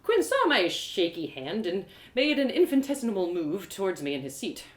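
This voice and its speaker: Expressive woman storyteller, lively pitch. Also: normal speed, roomy sound. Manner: expressive, theatrical. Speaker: storyteller, audio drama creator. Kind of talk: live first-person dramatic story narration.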